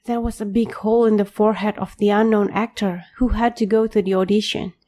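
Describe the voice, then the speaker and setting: A woman narrating an audio drama in the first person as an anxious young protagonist, grave, measured and introspective. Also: mid-range voice, fairly even intonation, mellow, close to an everyday voice. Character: anxious young reader, inwardly narrating an apocalypse.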